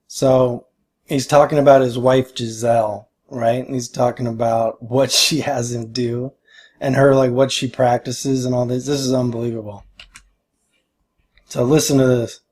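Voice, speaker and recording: A man's voice: mid-range voice, a little mellow. A man giving casual reaction commentary, amazed and urging people to listen.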